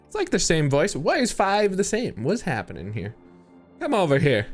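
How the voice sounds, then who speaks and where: A man's voice: high-pitched, moderate pace, soft background music. A man streaming a multiplayer shooter, chatting casually with friends and laughing while he gives them directions. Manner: lively, laughing, giving teammates directions. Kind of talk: casual gaming chat.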